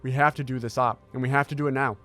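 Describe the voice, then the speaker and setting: Music plays under an actor's portrayal of a determined male intelligence scientist in an audio drama. He has a medium-pitched voice and delivers his words in a formal, measured, authoritative briefing manner. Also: steady tone, fairly bright tone, natural voice. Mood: urgent, firm.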